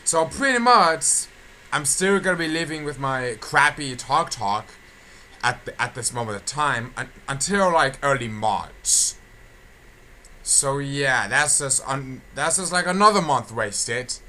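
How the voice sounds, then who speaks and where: A young man giving a casual audio update, halting, repetitive and complaining. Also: medium pitch, expressive, bright, forceful tone. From England. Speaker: video creator giving channel updates. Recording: clear background noise.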